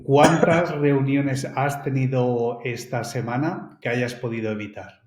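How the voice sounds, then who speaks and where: A man hosts a podcast, putting questions in a friendly, stop-start manner with plenty of fillers. His voice sits at an ordinary middle pitch. The sound carries a noticeable room echo. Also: fairly even intonation, a little slow, darkish tone. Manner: friendly, hesitant, many fillers. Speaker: podcast host. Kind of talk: casual interview. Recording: roomy echo.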